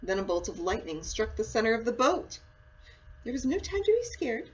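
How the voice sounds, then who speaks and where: A woman reading a children's storybook aloud in an expressive, dramatic and deliberate way. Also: on the high side, slightly rough. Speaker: story reader, school read-aloud. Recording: dull lo-fi sound with faint noise.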